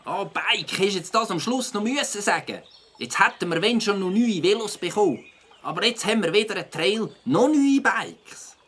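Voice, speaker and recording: An actor with a Swiss German accent playing an outspoken, excitable child in a children's audio drama. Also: mid-range voice, normal speed. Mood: reproachful.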